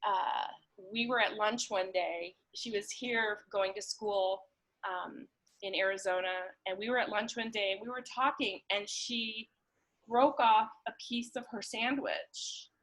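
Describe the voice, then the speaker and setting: A woman chatting casually and warmly, telling anecdotes in an informal, halting way with repeated words. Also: mid-range voice, fairly even intonation, low-quality recording. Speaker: conversation partner, guest. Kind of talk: casual, warm chat and anecdote.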